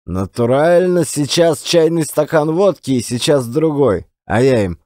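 Male actor as garrulous folksy storyteller, self-deprecating, rambling, slightly high voice, audio play. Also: animated, measured pace, dark tone, dry recording, clean studio sound. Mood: rueful, chatty. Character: garrulous folksy old-time Russian storyteller, short man, self-deprecating.

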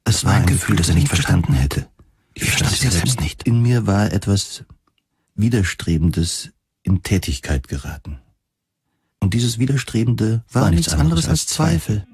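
An actor playing a troubled government reviewer in a dystopian future, narrating a science fiction audio drama in a measured, introspective way. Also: male voice, on the low side, big pitch swings, darkish tone. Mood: brooding, uneasy. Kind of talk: scripted first-person audio drama narration. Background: music.

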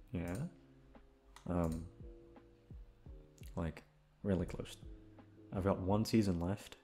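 A man's voice: low-pitched, warm tone. A young man chatting off the cuff to his listeners, rambling and hesitant, with casual swearing. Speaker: ASMR audio creator, voice actor.

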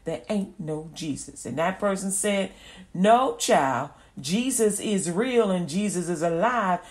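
A woman's voice: lowish voice, animated, slow pace. A woman preaching an informal radio sermon, folksy and repetitive, acting out little scenes as she goes.

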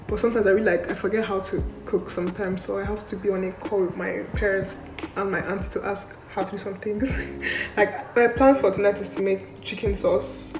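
A woman's voice: medium pitch, noisy background. A young woman chatting casually to camera in a rambling, informal vlog style, recorded indoors at a short distance from the mic. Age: young adult. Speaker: vlogger, university student. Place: indoor student flat kitchen and rooms. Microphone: camera at arm's length or propped nearby. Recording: echoey room, background music.

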